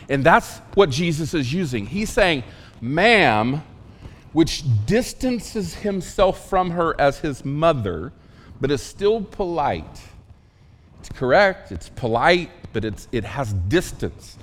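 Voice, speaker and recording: A man preaching a sermon in a casual, emphatic way, with asides and quick questions to the congregation. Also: medium pitch, in a large hall. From Oklahoma. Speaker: pastor.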